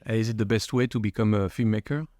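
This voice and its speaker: A man with a fairly low voice hosts a podcast interview, asking long questions and rephrasing them several times until the point lands. The sound is dry and close to the mic. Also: fast talker, mellow, no room sound. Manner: probing, rephrases questions at length. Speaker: podcast host.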